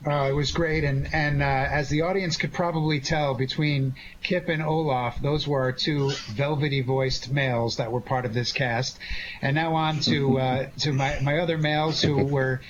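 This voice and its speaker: A man's voice: mid-range voice, fairly even intonation, moderate pace. A man hosting a talk show, introducing cast members in an effusive, complimentary way without a script. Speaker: show host. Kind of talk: unscripted cast introductions and questions. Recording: dull lo-fi line with clear noise.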